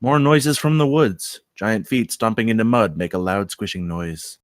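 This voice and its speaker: A man reading screenplay stage directions aloud as narrator, with deliberate dramatic emphasis. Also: lowish voice, no room sound, clean studio sound.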